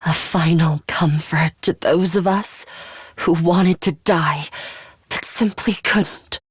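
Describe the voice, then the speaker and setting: A woman voicing a cold, aloof young woman fighter in a video game, with a composed, formal, deadpan and disdainful manner and a bloodthirsty streak. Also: fairly low pitch, slow pace, slightly rough, no room sound. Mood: weary, resigned. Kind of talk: English video game voice acting.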